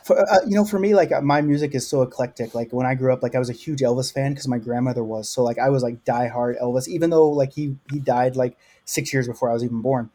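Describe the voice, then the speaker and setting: A man's voice: mid-range voice, fast, few pauses, warm tone. A man from the US South with a light Oklahoma accent, a guest who answers in an earnest, rambling way. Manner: rambling, earnest, lots of likes. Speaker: podcast guest. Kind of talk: casual interview.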